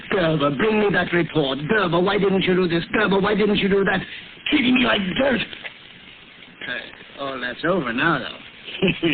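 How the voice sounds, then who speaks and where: A man's voice: on the high side, noisy background. An actor playing a bitter, scheming murderous male city chemist who mutters and gloats to himself, in 1940s radio acting, on a tinny old broadcast. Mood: uneasy.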